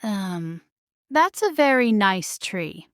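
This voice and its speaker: A woman's voice: medium pitch, sing-song, slow pace, smooth, no room sound, studio quality. A woman voicing a spirited, eager young princess in a children's storybook, bold, informal and exclamatory. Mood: awkwardly polite. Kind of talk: children's storybook character reading.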